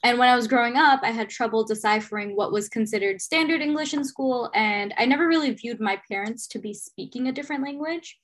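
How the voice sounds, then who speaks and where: A woman's voice: fairly high pitch, a little fast, few pauses. A young woman, an education student, leading the podcast discussion in an encouraging way. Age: young adult.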